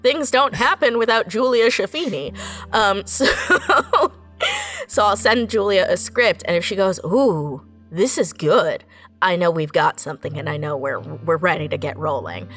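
A woman's voice: on the low side, normal speed, soft background music. A woman giving casual interview answers as an audio drama writer, animatedly acting out her own thoughts as she tells things. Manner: animated storytelling, acts out own thoughts in quotes.

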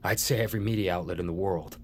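An actor playing a polite, brisk male aide relaying orders in an audio drama. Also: low-pitched, darkish tone.

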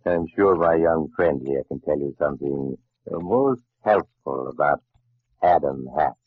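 A man acting a grave, formal doctor who narrates uncanny tales in an old radio drama, speaking deliberately. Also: very deep voice, dry recording. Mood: suave, genial. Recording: muffled old radio broadcast.

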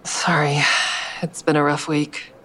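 A woman's voice: low-pitched, little pitch movement, measured pace, a bit bright, poor audio. An actress playing a weary, guarded woman who narrates her own story in an audio drama, tired and introspective. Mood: weary, uneasy. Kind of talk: first-person audio drama narration and dialogue.

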